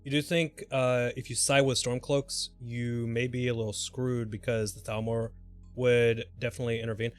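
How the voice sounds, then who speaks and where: A man's voice: mid-range voice, little pitch movement. Man rambling casually over background music. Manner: halting, thinking aloud, mildly sweary. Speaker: video game livestreamer. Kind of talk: casual gameplay commentary and rambling chat.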